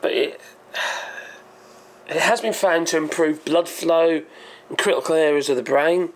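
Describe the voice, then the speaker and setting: A hoarse man hosting his own channel, explaining a topic in a rambling, hesitant way and often correcting himself. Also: on the high side, measured pace, a little hiss or hum, rough recording. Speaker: channel host. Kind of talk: casual explainer monologue.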